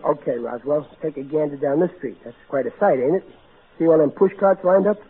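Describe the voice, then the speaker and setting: A man's voice: on the high side, expressive, a little fast, over music, natural voice. An actor playing a big-hearted, blustery middle-aged everyman in old-time radio style. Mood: earnest, kindly. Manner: folksy, ungrammatical, earnest, blustery. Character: big-hearted, folksy, exasperated working-class everyman. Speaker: actor in a radio comedy-drama. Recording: narrow tinny vintage radio broadcast, hissy.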